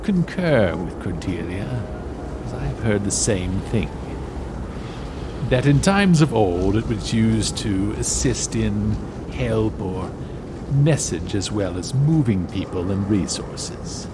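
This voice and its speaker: Grudging fantasy adviser, low-pitched, slow, stiff, cautious, audio drama, noisy ambient backdrop. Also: male voice, lively intonation. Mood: reluctant, cautious.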